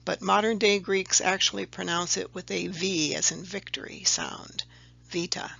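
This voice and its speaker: Gravelly voice walking through a chart step by step, muffled lo-fi sound. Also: mid-range voice, steady tone, normal speed, bright, forceful tone. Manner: methodical, step-by-step, with occasional self-corrections. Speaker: video presenter explaining a letter-number table. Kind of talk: slide-by-slide explanatory voice-over.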